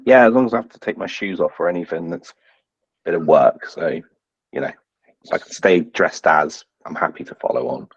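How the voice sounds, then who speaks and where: A man's voice: low-pitched, brisk, breathy edge, no room sound. A man voicing a cheeky, bumbling young squire in a tabletop game, hesitant and rambling, on a slightly dull close microphone. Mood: sheepish, eager.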